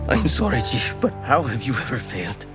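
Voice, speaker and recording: An actor playing a scared, self-loathing pilot in a video game, speaking with bitter anguish as if breaking down. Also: male voice, medium pitch, very animated, brisk, over music, close to an everyday voice. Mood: ashamed. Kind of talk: dramatic video game cutscene acting.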